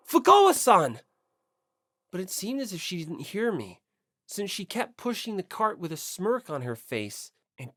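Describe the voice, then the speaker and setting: Casting: story narrator with expressive, varied pitch, reading scripted prose in a dry space. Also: no echo. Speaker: audiobook narrator.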